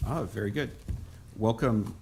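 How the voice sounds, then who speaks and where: A man's voice: on the low side, unhurried, creaky, background noise. A man chairing a council meeting, brief and courteous, heard from a distant microphone. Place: council chamber.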